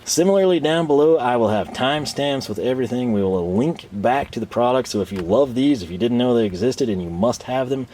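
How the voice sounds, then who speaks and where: A man's voice: mid-range voice, lively intonation, a little fast, few pauses, a little mellow. A man hosting a casual, unscripted product review, rambling and conversational with some self-deprecation, his voice a bit tired. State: tired voice starting to give out. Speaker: host of tool review channel.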